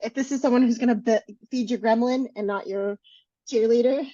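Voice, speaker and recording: A woman's voice: fairly high pitch, fairly even intonation, a little fast, warm tone, no room sound. A woman co-hosting a coaching podcast, talking earnestly and encouragingly as she walks through practical steps. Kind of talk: casual podcast chat. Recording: dull, dry close mic.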